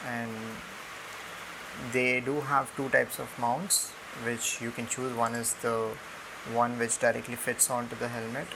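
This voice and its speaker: Flat-toned Indian man with a gravelly edge. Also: mid-range voice. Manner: hesitant, halting delivery full of ums. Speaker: product reviewer. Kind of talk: casual unboxing and tutorial narration. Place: indoors on a room floor. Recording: noisy home recording with steady hiss.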